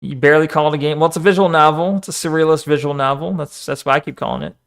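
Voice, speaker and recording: A man streaming and chatting casually, animated, swearing and talking back to the screen. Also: on the high side, racing. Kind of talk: casual live-stream chat and video reaction.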